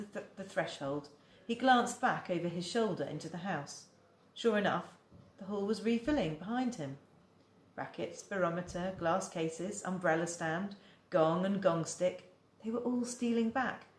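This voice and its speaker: A woman's voice: fairly low pitch, reverberant. A woman teacher reading a children's novel aloud, acting out the dialogue. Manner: expressive, acts out the dialogue. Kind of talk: storybook read-aloud.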